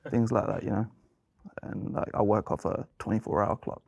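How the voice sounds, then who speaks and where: A man's voice: on the low side, little pitch movement, moderate pace, creaky. A man with a London accent chatting casually in a group. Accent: London English. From London, England.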